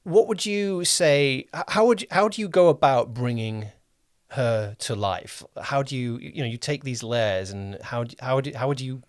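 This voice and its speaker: Guy hosting a chatty interview podcast, feels his way through questions, dry sound, normal pitch. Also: normal speed, few pauses, no echo. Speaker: podcast host and producer. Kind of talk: conversational interview.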